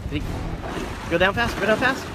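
A man hosting a fishing vlog in a high-pitched voice, casual and excited. He talks outdoors on a boat, with loud music playing over the sound. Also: a little fast. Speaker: fishing show host. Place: open boat at sea, outdoors.

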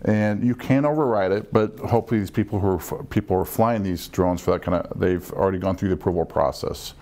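Low, creaky middle-aged man. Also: fast talker, darkish tone. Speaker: air traffic controller. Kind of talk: panel discussion, explanatory talk. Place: small meeting room, around a conference table.

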